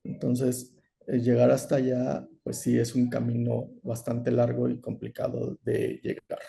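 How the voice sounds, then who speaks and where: A man giving an online lecture over a video call, explaining in a measured way with frequent "eh" and "¿no?". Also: medium pitch, monotone, mellow. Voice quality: gravelly. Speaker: course lecturer.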